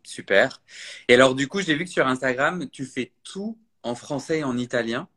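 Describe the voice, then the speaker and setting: A man's voice: mid-range voice, normal speed, bright, forceful tone, breathy edge. A man hosting a podcast interview, prompting his guest with casual, friendly questions. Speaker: podcast host.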